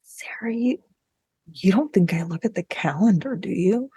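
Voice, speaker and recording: A woman's voice: medium pitch, lively intonation, normal speed, mellow, slightly rough, no echo, studio quality. A woman co-hosting a podcast in casual chat, with a wry, self-mocking, joking way of talking. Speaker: podcast co-host.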